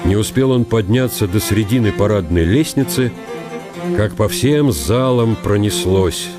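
A man's voice: on the low side, measured pace, music in the background, natural voice. A man narrating a historical radio play in a dramatic literary style.

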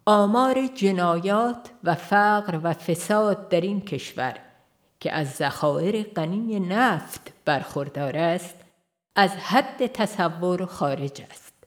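A woman reading an audiobook aloud in a calm, measured way, pausing gently between phrases. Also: lowish voice. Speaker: audiobook narrator. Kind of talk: scripted audiobook narration. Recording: faint music behind the voice. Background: soft background music.

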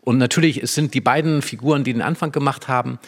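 A man with a medium-pitched voice speaks as a drama expert. His delivery is conversational and explanatory, like spoken analysis in a discussion. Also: quick pace. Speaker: drama expert commenting on a scene.

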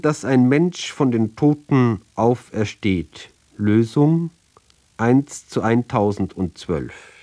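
A man giving a literary reading of narrative poems, with deliberate, measured phrasing and pauses between short fragments. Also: mid-range voice, darkish tone.

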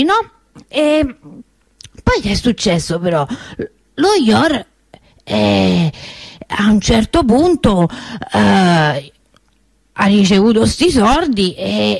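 A woman's voice: mid-range voice, no room sound. A woman playing a plain-spoken, cheated Roman street vendor in a theatre monologue, telling a story colloquially and hesitantly with frequent tag questions. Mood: sardonic.

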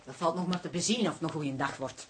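An actress playing a sharp-tongued, indignant middle-aged woman in a radio drama, haughty and accusing. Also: sits low, quick pace, slight background noise, natural voice.

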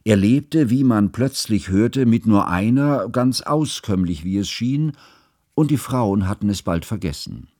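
An actor in a radio drama playing a learned chronicler, narrating in a measured, formal, literary manner. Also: male voice, fairly low pitch, animated, mellow, no room sound, clean studio sound. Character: learned, formal male chronicler telling of his friend. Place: dry studio.

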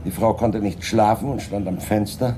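Actor as a deep-voiced, composed police inspector. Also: male voice, steady tone, background noise, lightly acted. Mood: serious, insistent. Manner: measured, politely insistent, deliberate. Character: dry, composed, persistent male Scotland Yard inspector. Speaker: actor in a radio crime drama. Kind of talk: 1980s German radio play acting.

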